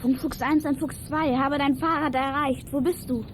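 A bold young detective acted by a woman in a children's radio play, high in pitch and brisk as if giving radio calls. Clear ambient noise runs behind the voice. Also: steady tone, lightly acted. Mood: urgent. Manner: brisk radio-call delivery. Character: bold, alert child detective on a walkie-talkie. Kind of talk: children's audio drama acting. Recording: noisy outdoor ambience behind voice.

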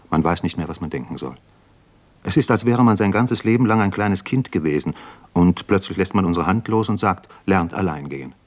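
Deep-voiced, earnest young doctor-poet. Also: male voice. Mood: brooding. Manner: reflective, literary, intense. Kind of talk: 1950s German radio drama acting.

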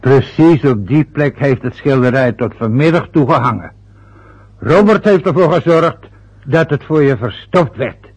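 A man's voice: medium pitch, animated, unhurried, a little mellow, breathy edge. An older man playing a stubborn, sarcastic father in a radio drama. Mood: aggrieved. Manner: grumbling, sarcastic. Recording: dull lo-fi old radio recording.

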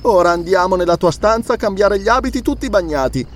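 Guy putting on a high shrill noble lady, protective and indignant, very animated, fantasy storybook. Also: quick pace. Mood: tender worry. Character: young noblewoman, protective, indignant, anguished niece.

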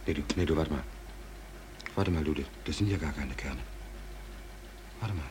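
An actor playing an embittered, hungry merchant seaman in a 1940s German radio play, speaking in a halting, brooding, insistent way. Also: very deep voice. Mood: hushed, uneasy. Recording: noisy 1940s radio drama recording.

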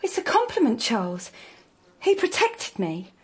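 A woman's voice: on the high side, huge pitch swings, unhurried, breathy edge, full character voice. An actress playing a distraught, needy and resentful accused woman in a radio drama, pleading and emotional.

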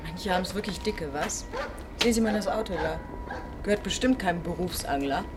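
A woman's voice: fairly low pitch, fairly quick, very noisy, close to an everyday voice. A woman playing a curious, observant and teasing companion to a detective in a crime radio play, speaking casually and directly.

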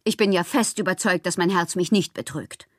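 A woman's voice: fairly low pitch, normal speed, dry recording, studio quality, natural voice. An actress playing a whimsical, lovelorn, melancholy woman poet performing her letters in a radio drama, theatrical and given to whispering. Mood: agitated, earnest. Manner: theatrical, swinging between breathy whispers and exclamations.

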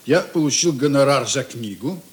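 An actor playing a breezy, bossy, generous writer in a radio play, chatting and interjecting jovially. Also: male voice, mid-range voice, expressive, moderate pace, natural voice. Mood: bustling, generous. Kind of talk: Russian radio play acting.

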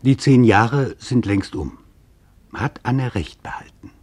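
A man narrating a scripted literary radio documentary in a solemn, deliberate, formal manner, with a husky voice. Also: mid-range voice, expressive, a little mellow.

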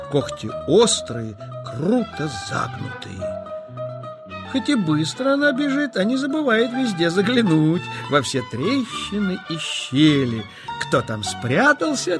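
Slow, expressive male storyteller. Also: on the high side. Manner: expressive, deliberate phrasing. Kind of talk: scripted children's story narration.

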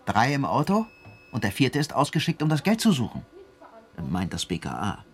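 An actor playing an eager junior police detective in a radio drama, talking in a casual, chatty way. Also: male voice, medium pitch, wildly swooping pitch, normal speed. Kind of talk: German radio drama acting.

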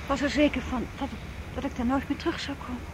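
A woman playing a nervous, excited accomplice in a naturalistic radio drama, hesitant and questioning. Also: sits high, fast, close to an everyday voice. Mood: anxious. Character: nervous, excited female accomplice on a night job. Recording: noisy radio drama recording.

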